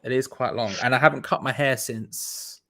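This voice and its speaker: A man's voice: mid-range voice, steady tone, normal speed, creaky, fairly bright tone, no room sound. Male host, casual fan-stream chat, rambles and restarts, dry call audio. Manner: chatty, rambling, many restarts.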